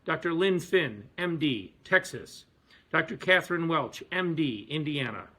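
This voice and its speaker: Forceful, slightly husky man giving a speech. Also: a little high, expressive, unhurried. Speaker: physician and activist. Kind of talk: prepared advocacy speech.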